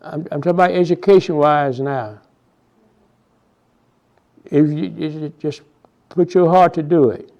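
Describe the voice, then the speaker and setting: Slow, halting man in his nineties. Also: on the high side, a little mellow. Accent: Southern US, Texas. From Houston, Texas. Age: 90s. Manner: halting, many repeated words and restarts. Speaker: interviewee, oral history. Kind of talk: reminiscing oral history interview.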